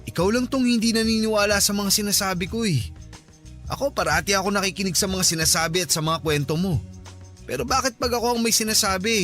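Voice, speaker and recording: Casting: lovesick male friend, notably high voice, coaxing, exasperated, pleading, radio drama over faint music. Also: a bit bright. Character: insistent, lovesick male friend pursuing married woman. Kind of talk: Filipino radio drama acting.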